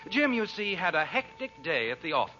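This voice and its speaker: Lively, wry radio storyteller. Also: male voice, high voice, brisk, music in the background. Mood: wry, mock-solemn. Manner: wry, mock-solemn. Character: wry, genial storyteller of a family radio comedy. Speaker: narrator. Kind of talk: scripted old-time radio narration. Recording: dull old radio recording.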